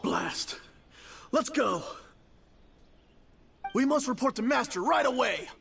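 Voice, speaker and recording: Gravelly, high-strung henchman guard. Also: expressive, slow pace, a bit bright, a little hiss or hum, full character voice. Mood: alarmed. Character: aggressive male henchman guard, loyal to his master. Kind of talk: anime video game combat voice acting.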